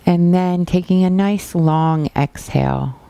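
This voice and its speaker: A woman teaching a guided body scan meditation, giving gentle, unhurried instructions with pauses. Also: fairly low pitch, creaky.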